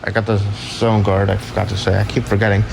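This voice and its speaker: A man's voice: on the low side, flat delivery, fast talker, creaky, heavy background noise. A man giving a casual, unscripted show-and-tell as a hobbyist, rambling offhandedly and correcting himself as he goes. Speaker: hobbyist collector showing weather radios.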